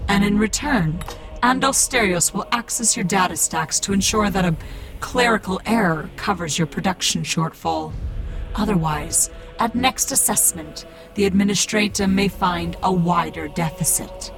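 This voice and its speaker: A woman playing a shrewd, commanding cult priestess in a science fiction audio drama, speaking in a measured, formal way. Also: mid-range voice, gravelly, faint music behind, lightly acted.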